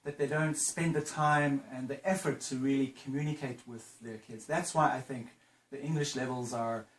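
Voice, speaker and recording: A man's voice: mid-range voice, steady tone, moderate pace. A man interviewing a mother, asking chatty and encouraging questions in native English. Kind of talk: casual interview. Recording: roomy sound with faint noise.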